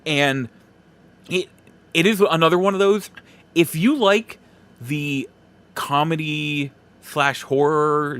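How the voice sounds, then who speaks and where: Animated man with a higher-pitched voice. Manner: informal and conversational, emphatic about opinions. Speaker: podcast host. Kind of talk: casual opinionated solo podcast talk.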